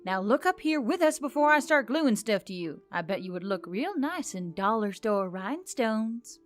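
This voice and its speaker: A woman's voice: fairly high pitch, very animated, moderate pace. A woman voicing a reluctant, exasperated young heroine in a fantasy story, wry, casual and sweary. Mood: exasperated, teasing. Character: Emily, reluctant, exasperated, sarcastic human heroine. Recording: background music under the voice.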